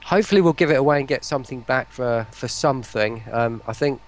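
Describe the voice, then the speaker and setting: A man's voice: medium pitch, fast. A man chatting casually as a guest, hesitant and rambling as he goes. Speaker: interview guest. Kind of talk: casual chat.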